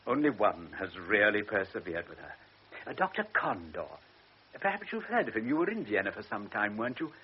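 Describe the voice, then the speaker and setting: An actor playing a frail, anxious elderly baron who is a devoted father. He speaks earnestly, stammers and drops into hushed tones. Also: on the low side, very animated, a little fast, slightly rough. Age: older. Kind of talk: classic radio drama acting. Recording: lo-fi radio recording with faint hiss.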